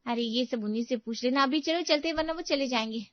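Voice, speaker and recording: A woman acts out a helpful young sister-in-law for a cartoon family tale. She is high-pitched, eager and chirpy. The recording is close and dry, a little dull. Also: quick pace, dry recording, close to an everyday voice. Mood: excited. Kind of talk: animated moral-story dialogue.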